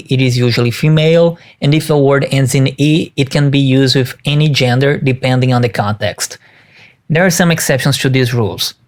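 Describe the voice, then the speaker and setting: Man reading a message. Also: mid-range voice. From Brazil. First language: Portuguese. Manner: measured, careful and explanatory. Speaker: caller. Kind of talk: reading a prepared listener message.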